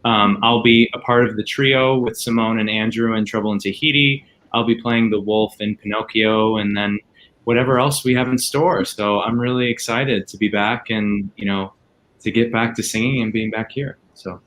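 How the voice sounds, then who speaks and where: A man's voice: on the low side, steady tone, normal speed, fairly bright tone. Man chatting casually with faint noise. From Chicago area, United States. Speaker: opera singer. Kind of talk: casual self-introduction chat.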